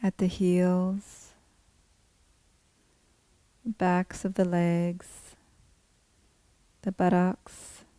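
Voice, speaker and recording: Slow, low-pitched woman guiding meditation. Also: no echo. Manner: calm, unhurried, gently measured phrasing with pauses. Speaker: meditation teacher guiding a body scan. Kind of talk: guided meditation, scripted instruction.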